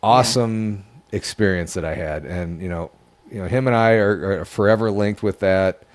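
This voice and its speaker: A man telling an emotional personal story in casual podcast talk, halting and earnest. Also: on the low side. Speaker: podcast co-host.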